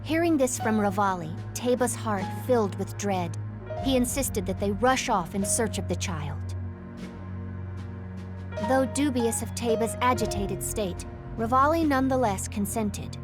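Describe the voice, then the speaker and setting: A woman giving measured, formal story narration as the narrator of a video game. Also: fairly high pitch, animated. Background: music.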